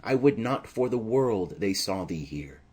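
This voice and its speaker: A man voicing a smitten, anxious young maiden in earnest, measured Shakespearean verse, as in an audio drama. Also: fairly low pitch, animated, darkish tone, husky.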